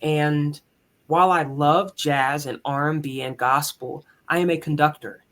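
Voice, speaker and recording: A man with a higher tenor voice, speaking as a musician and conductor in an earnest, candid, reflective way in a conversational interview. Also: fairly even intonation, unhurried, a bit bright.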